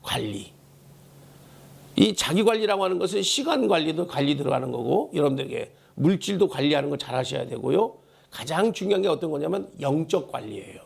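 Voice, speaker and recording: Male pastor, high voice, hoarse and gravelly, emphatic sermon delivery with repeated key phrases. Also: sing-song, a little fast. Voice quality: hoarse, worn voice. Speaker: pastor preaching a Sunday sermon. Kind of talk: Korean church sermon with call-and-response prompts. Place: church service.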